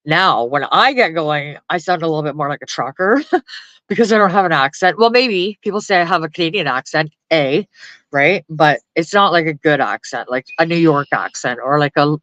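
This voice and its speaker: A woman's voice: sits low, expressive, fairly quick, a bit bright, no echo, muffled recording. A woman on a phone live stream, ranting and chatting with viewers in blunt, heavily profane casual talk, often laughing. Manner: blunt, swears constantly, laughs often, talks to chat and dog. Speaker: livestreamer. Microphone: phone held close.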